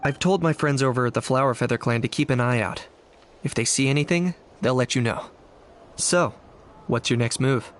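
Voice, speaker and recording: A man's voice: mid-range voice, moderate pace, close to an everyday voice. A man voicing a laid-back, dryly skeptical young male adventurer in a video game. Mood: casual, dry.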